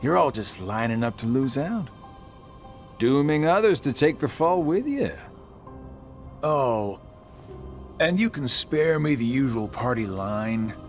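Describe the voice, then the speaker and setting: Sly middle-aged game villain, unhurried drawl, taunting, music underneath. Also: male voice, mid-range voice, expressive. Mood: mocking, lecturing. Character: sly, mocking one-eyed middle-aged male villain. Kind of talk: English video game cutscene acting.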